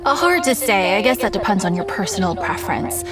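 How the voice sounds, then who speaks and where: An actress playing a friendly, organised festival coordinator in a game, polite and gently amused. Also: female voice, medium pitch, lively intonation, a little fast, natural voice. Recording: distant voice over game music.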